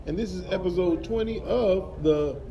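A man's voice: sits high, normal speed, mellow, low-quality recording. A man co-hosting a casual podcast, teasing his friends and speaking to listeners. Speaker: podcast co-host. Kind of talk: casual joking chat. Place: room, no mics set up.

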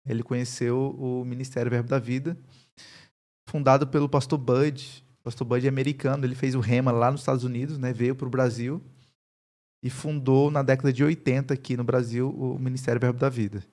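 A man in his thirties with a mixed accent from Paraíba, Brazil, a pastor calmly telling his story as an interview guest. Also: medium pitch, brisk. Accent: mixed Paraíba Brazilian Portuguese. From Campina Grande, Paraíba, Brazil. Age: 30s. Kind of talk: casual interview conversation.

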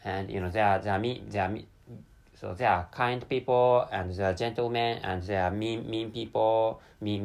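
Halting Japanese-accented man. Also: lowish voice. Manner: halting, searches for words, many restarts and fillers. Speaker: podcast host. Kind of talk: solo spoken commentary.

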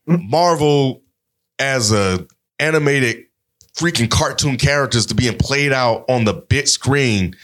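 A man's voice: mid-range voice, slow, fairly bright tone. A man chatting casually about films in an emphatic way, with slangy, profane phrasing.

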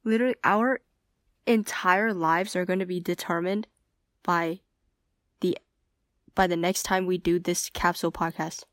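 A woman's voice: lowish voice, steady tone, a little slow, long pauses, no echo, clean studio sound. A teenage girl co-hosting a casual podcast with friends, talking in a chatty way with many fillers and restarts. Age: teens. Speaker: podcast co-host. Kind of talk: casual chat among friends.

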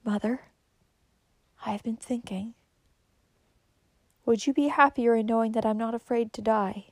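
A woman's voice: on the high side, fairly quick, no room sound, natural voice. A woman voicing a bitter, weary young woman on her deathbed in a storybook, pleading in an anguished, dramatic way. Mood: weak, whispering.

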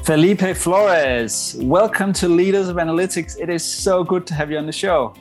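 A man hosting a podcast, reading a measured, clearly worded introduction before interviewing his guest. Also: sits high, faint music behind. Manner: measured, clearly read introduction, warm and formal. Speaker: podcast host. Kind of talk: scripted intro then interview.

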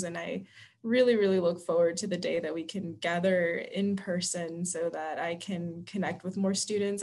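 A woman's voice: on the low side, creaky, darkish tone. A woman on admissions staff giving earnest advice as a podcast guest, often restarting words, over remote call audio. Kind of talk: casual podcast conversation.

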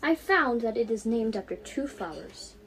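Teen girl voicing a knowledgeable aunt, patient, fond, explanatory, higher lively voice, storybook. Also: moderate pace, low-quality recording. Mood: explaining. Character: kindly, knowledgeable aunt and teacher.

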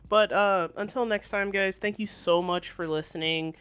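A man's voice: high-pitched, steady tone, normal speed, a bit bright, smooth. A man co-hosting a podcast in casual banter, with a sweary, crudely joking way of talking. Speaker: podcast co-host. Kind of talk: casual nerd-news banter.

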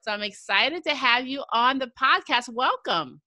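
A woman's voice: high-pitched, animated, normal speed, pushed and bright, no room sound. An American woman hosting a podcast in a conversational interview style. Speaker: podcast host.

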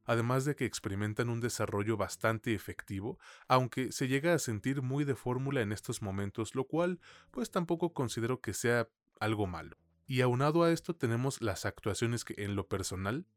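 A man's voice: medium pitch, normal speed, no echo. A man with a Mexican accent giving a conversational film review as a podcast co-host, in informal, slangy language. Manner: informal, slangy, peppered with swearing.

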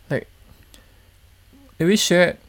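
A man, hosting a casual interview as an eager, appreciative listener. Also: on the high side. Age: 30s. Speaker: interviewer, host.

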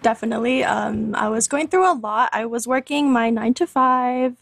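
A woman in her twenties, a podcast guest telling her story in a chatty, animated way, full of fillers and laughs. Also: a little high, moderate pace, vocal fry, dry recording. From Canada. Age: 20s. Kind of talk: casual interview storytelling.

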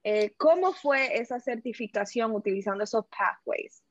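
Woman host asking questions, kinda high voice, formal and polite, muffled dry audio. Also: animated, moderate pace, dry recording. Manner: polite, formal, hesitant with fillers. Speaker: interviewer, co-host. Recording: dull dry lo-fi sound.

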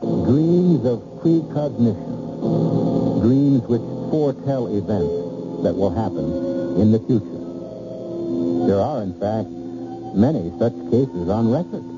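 A man hosting and narrating an old-time radio anthology in a measured, ominous, theatrical manner. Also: medium pitch, lively intonation, loud music behind the voice. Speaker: host and narrator. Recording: muffled, noisy old radio recording.

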